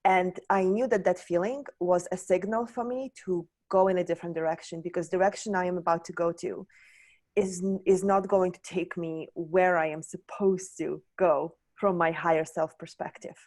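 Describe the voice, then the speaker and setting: Woman from Poland, lowish voice, candid guest, searching and full of restarts. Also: steady tone, normal speed, darkish tone. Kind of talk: reflective personal story.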